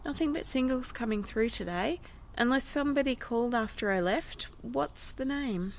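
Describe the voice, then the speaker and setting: A prim, earnest female bureau worker in an audio drama, played by an actress. Her high voice swoops with animation and crackles with fry, deferential and proper. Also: lively intonation, normal speed. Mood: curious, businesslike. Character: prim, deferential woman working at a termination bureau.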